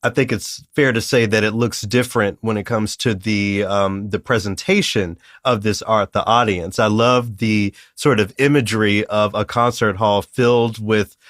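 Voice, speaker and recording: A man's voice: on the low side, fairly even intonation, normal speed, few pauses, a bit bright, no echo, studio quality. A man hosting an interview, asking questions with playful asides. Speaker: podcast host.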